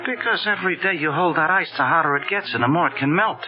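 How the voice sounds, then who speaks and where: Guy acting a sly gangster in old radio drama, slangy n pushy, loud music behind, muffled sound. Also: fairly high pitch, fast, close to an everyday voice. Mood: persuasive. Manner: streetwise slang, sly and insinuating. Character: slangy male gangster racketeer, pushy deal-maker.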